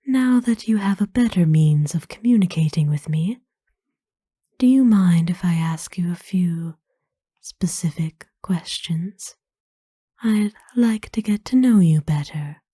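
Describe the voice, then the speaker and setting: Casting: woman as ancient vampire noblewoman for ASMR roleplay, very dark soft tone, breathy whisper, kind and formal, unhurried. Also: fairly low pitch, no room sound, studio quality, exaggerated voice. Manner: formal, slow, tender, hesitant pauses. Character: kind, courtly centuries-old female vampire owner, gently doting. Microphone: close dry microphone.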